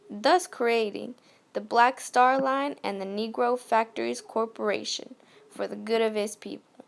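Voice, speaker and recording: A woman playing a poised 1920s radio news anchor, reading from a script with a creaky voice. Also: on the high side. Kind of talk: scripted amateur radio news role-play.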